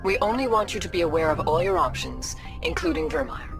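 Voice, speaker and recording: An actress playing a composed, formal alien councilor in a video game, over music. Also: female voice, fairly high pitch, very animated, fairly quick, creaky, full character voice.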